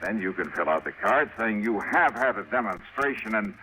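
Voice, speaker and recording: A man's voice: fairly low pitch, animated, moderate pace, bright, forceful tone. An American actor playing a wisecracking male investigator in a golden age radio drama, with folksy, hesitant patter. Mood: pleading. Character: smooth wisecracking private investigator posing as bashful salesman. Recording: noisy old radio drama recording.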